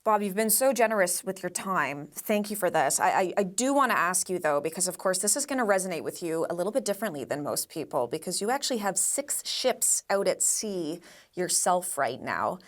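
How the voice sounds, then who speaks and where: A female news presenter with a fairly low voice interviews a guest on a live broadcast. Her questioning is measured and courteous, with an earnest tone. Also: lively intonation.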